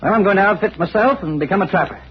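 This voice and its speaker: An actor playing a confident, slangy, tough young lumberjack in an old-time radio drama. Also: male voice, sits high, rapid-fire, slightly rough. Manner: slangy, cocky tough-guy talk. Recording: dull, noisy old radio recording.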